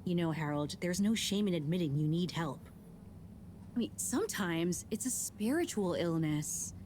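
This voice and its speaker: A woman's voice: fairly low pitch, animated, moderate pace, a little mellow, lightly acted. A woman voicing a chatty, caring game character who offers medical advice, with faint noise behind. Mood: concerned. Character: helpful woman advising on medicine.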